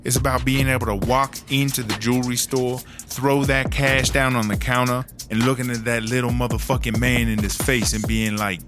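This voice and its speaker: Casting: male actor as jaded, streetwise woman inmate, mid-pitched, slangy conversational storyteller for comedy audio drama, music underneath. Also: little pitch movement, moderate pace, few pauses, natural voice. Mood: animated, confiding. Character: streetwise, jaded female prison lifer and storyteller.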